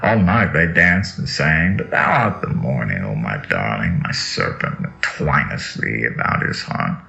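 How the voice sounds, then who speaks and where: Very deep, gravelly man reciting solemnly. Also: expressive, unhurried, sharp tone. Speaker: reader. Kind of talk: solemn dramatic scripture recitation. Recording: dull lo-fi audio with music underneath. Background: music.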